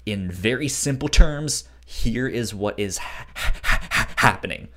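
A man's voice: lowish voice, animated, unhurried, pushed and bright. A man co-hosting a podcast, discussing a book casually, with a frequent stutter that repeats sounds and syllables. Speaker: podcast co-host.

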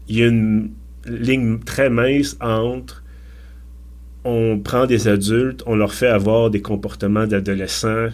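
A man, hosting a podcast and asking long, hesitant questions with many restarts. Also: medium pitch. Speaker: podcast host. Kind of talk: casual podcast interview.

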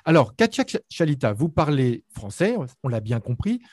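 A man interviews his guest in formal French, his questions measured and carefully built. His voice is mellow and slightly husky, with lively intonation that rises and falls. The sound is dry and close. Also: mid-range voice, dry recording.

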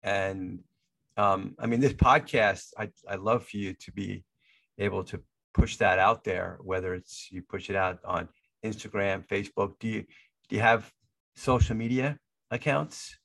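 A man's voice: fairly low pitch, steady tone, measured pace, creaky, fairly bright tone, no echo. A man hosting a podcast interview, often repeating words and restarting his phrases. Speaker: podcast host. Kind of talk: interview chat.

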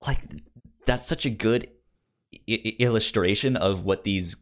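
A man co-hosting a podcast in casual movie debate, halting and often stuttering on repeated syllables. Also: on the low side. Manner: halting, circling delivery. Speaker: podcast co-host.

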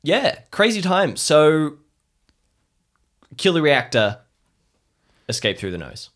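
A man's voice: a little high, sing-song, slow pace, fairly bright tone. A man playing in an improvised audio drama, moving between hesitant, earnest dialogue and narrating his character's actions.

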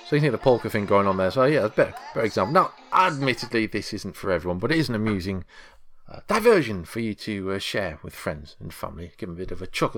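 Male podcast host, lowish pitch, very animated intonation, chatty and informal. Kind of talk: casual podcast presenting.

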